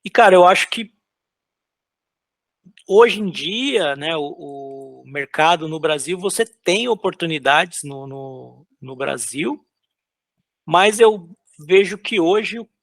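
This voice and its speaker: A man from São Paulo talks in a relaxed, halting way, piling up fillers and restarts while he sketches. His voice sits a little high with a gravelly, creaky edge. The sound is close and dry. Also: no room sound. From São Paulo, Brazil. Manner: loose, hesitant, lots of filler words and restarts. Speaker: concept artist guest. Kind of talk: casual interview while drawing.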